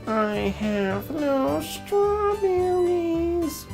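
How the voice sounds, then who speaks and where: A woman voicing a sorrowful male cartoon duck in a slow, drawn-out wail. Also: very high voice, music in the background, voice pushed up, full character voice, rough recording.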